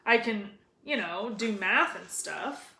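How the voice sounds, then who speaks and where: A woman's voice: medium pitch, expressive, slow pace, gravelly, sharp tone, slight background noise, exaggerated voice. Lady doing a timid polite boy, kinda confused and hesitant, storybook, roomy echo. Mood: bewildered, hesitant. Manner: hesitant, apologetic. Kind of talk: children's storybook read-aloud.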